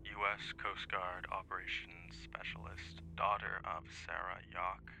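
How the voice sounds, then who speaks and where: An actor playing a mysterious, eerie long-lost uncle in a radio play, speaking in a deliberate, formal way. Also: male voice, deep voice, flat delivery, vocal fry, low-quality recording. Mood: calm, ominous. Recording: prominent music behind the voice.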